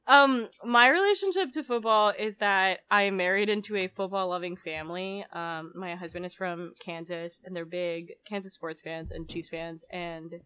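A woman's voice: on the low side, moderate pace, few pauses, sharp tone, clean tone. A woman in her twenties, raised in the Philippines, co-hosting a podcast in a chatty, giggly way full of "like" and "um". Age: 20s. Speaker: podcast co-host. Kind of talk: casual podcast chat.

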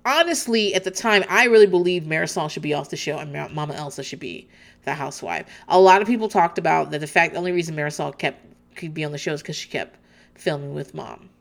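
Chatty lower-voiced woman swearing freely. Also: fast, vocal fry. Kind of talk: casual reality TV recap chat.

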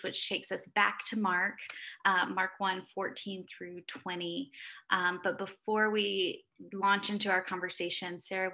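A woman's voice: mid-range voice, sharp tone. A woman pastor hosting a casual church conversation, upbeat and chatty with many fillers. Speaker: associate director and pastor, host.

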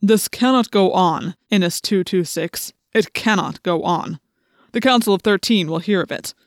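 A woman voicing a cold, imperious male alien commander in an audiobook, with slow, threatening, commanding delivery. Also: on the low side, no echo, clean studio sound. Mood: cold, menacing.

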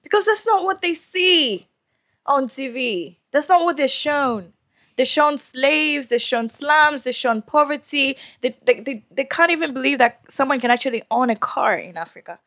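A woman's voice: high voice, animated, moderate pace, fairly bright tone, no room sound, studio quality. A woman from Tanzania, a guest on a casual podcast, giving blunt, emphatic opinions.

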